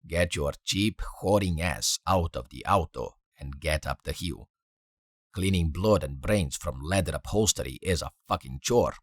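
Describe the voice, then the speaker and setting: Man doing a low, menacing gangland enforcer. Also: lively intonation, unhurried, no room sound, studio quality, full character voice. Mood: cold menace. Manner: curt, menacing. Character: cold, menacing foul-mouthed male gangland enforcer.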